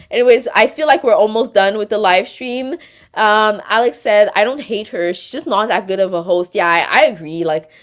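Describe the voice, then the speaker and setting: Chatty woman hosting a live stream. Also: medium pitch. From Quebec. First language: French. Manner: chatty, reads comments aloud and answers them. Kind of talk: casual live stream chat, reading viewer comments.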